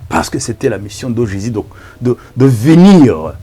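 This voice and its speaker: Male Rwandan pastor, Kinyarwanda mother tongue: husky, emphatic, halting preaching delivery with very lively intonation. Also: medium pitch, soft background music. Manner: halting, emphatic, repeats words. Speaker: pastor and evangelist. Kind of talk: preaching introduction to camera.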